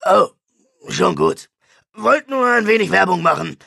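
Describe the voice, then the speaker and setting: A man's voice: high voice, expressive, measured pace, creaky, bright, forceful tone, husky, no echo, clean studio sound, voice pushed up, heavily acted. A man reading an audiobook, voicing a cheeky male sidekick who talks flippantly and mocks lightly.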